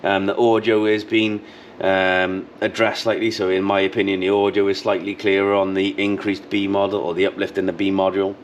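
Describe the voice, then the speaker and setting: A man's voice: low-pitched, little pitch movement, fairly quick. A man in his thirties giving a casual, explanatory how-to product demonstration, repeating key points as he goes. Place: showroom with intercom display wall, indoors. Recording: clearly noisy room background.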